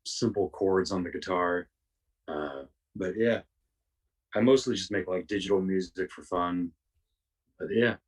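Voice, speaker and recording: Podcast guest: man, raspy and very low, slangy and self-mocking, sounding distant from the mic. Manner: self-deprecating, frequent slang and swearing. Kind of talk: casual interview chat.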